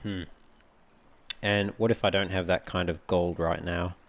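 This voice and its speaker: Low, gravelly male gaming host. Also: steady tone, unhurried. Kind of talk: casual let's play commentary. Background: game music.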